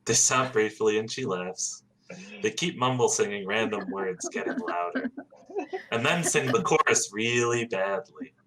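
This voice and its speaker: A man reads stage directions at a casual table read, his low voice rising and falling a lot. Faint room ambience sits behind him. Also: lively intonation, a little slow, sharp tone, slight background noise, poor audio.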